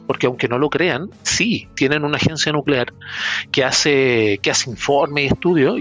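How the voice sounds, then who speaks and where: A man with a Chilean accent, a podcast panelist who explains international news in a relaxed way and often restarts his phrases. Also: mid-range voice. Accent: Chilean Spanish. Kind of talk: casual podcast news roundup.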